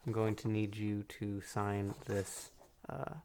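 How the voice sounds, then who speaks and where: A man playing a calm, manipulative psychiatrist in a soft-spoken ASMR roleplay, measured and hypnotic, pausing between phrases. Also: lowish voice, a little hiss or hum. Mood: politely menacing. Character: calm, manipulative male psychiatrist interviewing a killer patient.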